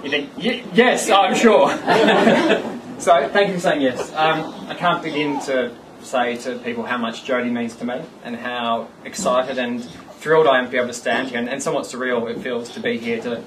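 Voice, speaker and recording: A man's voice: mid-range voice, fairly even intonation, moderate pace, noisy background. Joking man giving an engagement speech. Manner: joking, rambling, self-mocking. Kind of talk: informal speech at a party. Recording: distant mic with loud party ambience.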